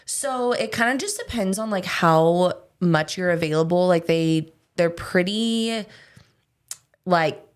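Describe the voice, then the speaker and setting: A woman speaks in a fairly low voice as a podcast guest. Her answers are easygoing and meander, padded with "like" between thoughts. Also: takes it slowly, clear, resonant tone. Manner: relaxed, lots of "like" fillers. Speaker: guest, esthetician. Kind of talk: casual interview answers. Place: podcast studio.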